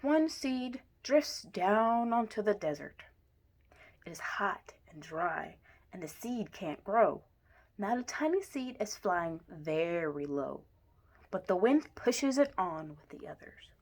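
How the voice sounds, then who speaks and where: A woman reading a children's picture book aloud in an expressive way, with deliberate emphasis. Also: mid-range voice. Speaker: story reader.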